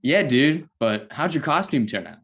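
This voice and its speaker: Guarded young male hero voiced by a man, dry, medium-pitched, amateur table-read drama. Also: lively intonation, moderate pace, fairly bright tone, slightly rough. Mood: curt. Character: dry, guarded young former child-sidekick superhero.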